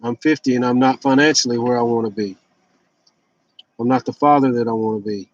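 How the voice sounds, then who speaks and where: A man's voice: mid-range voice, steady tone, brisk, no echo, highs cut off. A man in his fifties talking casually and candidly in a rambling, blunt, confessional personal vlog. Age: 50s. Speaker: vlogger sharing fasting and fitness journey.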